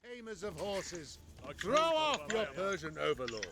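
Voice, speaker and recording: Male, very high-pitched ancient Greek rebel leader; slow, grandiose game oratory over loud music. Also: huge pitch swings, a crowd in the background, exaggerated voice. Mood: proud oratory. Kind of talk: theatrical video game voice acting.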